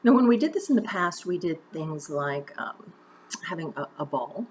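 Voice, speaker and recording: Woman, drama teacher with a low-pitched voice, instructing step by step in a conversational way; slight background noise. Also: animated, moderate pace. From United States. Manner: conversational, encouraging step-by-step instruction with direct questions. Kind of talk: instructional video mini-lesson.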